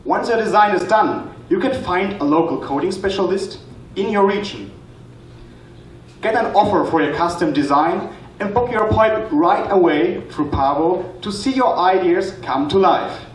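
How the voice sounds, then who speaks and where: A man in his twenties giving a rehearsed stage pitch as a student presenter, recorded in a hall. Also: moderate pace. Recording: echoey, noisy hall, distant microphone pickup.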